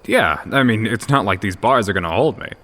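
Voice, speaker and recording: An actor voicing a flippant, unbothered wolf-tribe creature in an audio fantasy drama, talking in casual slang. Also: male voice, on the low side, animated, a little fast, a bit bright. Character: laid-back caged male wolf-tribe creature, flippant slacker. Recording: long hall-like reverb.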